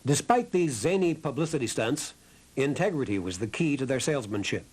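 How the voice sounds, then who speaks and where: A man's voice: medium pitch, animated, a little fast, darkish tone, breathy edge. A man reading a scripted historical documentary narration. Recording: narration over background music. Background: music.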